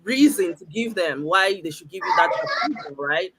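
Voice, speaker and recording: A woman's voice: fairly low pitch, sing-song, normal speed, heavy background noise. Enthusiastic woman hosting an online show. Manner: enthusiastic, addresses viewers directly. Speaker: host. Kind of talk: casual online chat show.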